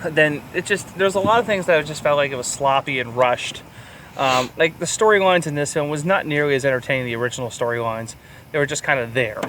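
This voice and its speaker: A man with a slightly higher-pitched voice chats casually about films as a podcast co-host. He is irreverent and loose with profanity. A faint layer of background noise sits behind his voice. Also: fast talker, a bit bright. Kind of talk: casual film review chat. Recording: clear background urban ambient noise.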